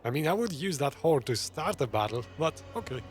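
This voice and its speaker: A man's voice: a little high, sing-song, fairly quick. A man giving casual live commentary as a game streamer. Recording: loud game music behind voice. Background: game music.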